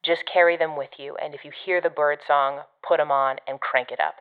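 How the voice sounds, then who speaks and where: Audio drama: sardonic female agency operative, low and casual, guiding over a dull radio link. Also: steady tone, fairly quick, clean tone. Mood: brisk, instructing. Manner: dry, sarcastic, casual. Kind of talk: audio fiction podcast acting.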